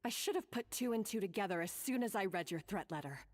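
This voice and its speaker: An actress playing a composed, accusing woman in a video game, speaking in a deliberate, pointed way. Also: medium pitch, lively intonation, faint music behind, natural voice. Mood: coolly accusing. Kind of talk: video game voice acting, dramatic monologue. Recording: long hall reverb.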